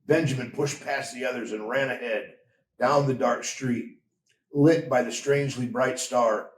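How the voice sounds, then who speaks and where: Slow-reading male storyteller in a roomy space. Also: medium pitch. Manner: measured, expressive storytelling. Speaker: story reader. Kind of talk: scripted storybook narration. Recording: roomy echo, distant from mic.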